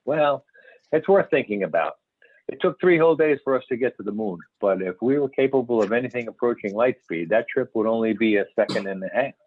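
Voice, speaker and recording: A man playing an earnest, assured UFO scientist in an amateur script reading, explaining emphatically with some halting stumbles. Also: medium pitch, animated, lightly acted. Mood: explanatory, emphatic. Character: male expert scientist investigating UFO reports, earnest and assured. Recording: tinny phone-like conference call, echoey room.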